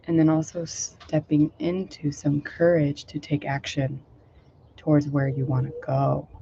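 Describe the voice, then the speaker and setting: A woman meditation teacher talks in a loose, rambling way full of fillers, her voice a little hoarse and low today. Her delivery is mellow and fairly flat. The recording is dull and lo-fi, made outdoors with faint noise behind her. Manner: rambling, filler-heavy, gentle. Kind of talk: casual talk leading a guided meditation.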